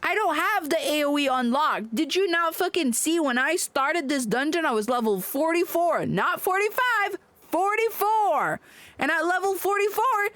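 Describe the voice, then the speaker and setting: A woman's voice: extremely high pitch, animated, moderate pace, fairly bright tone, no room sound. A woman livestreaming a game, giving casual commentary with sweary, exasperated venting. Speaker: livestreamer playing an online game. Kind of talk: casual gaming stream commentary.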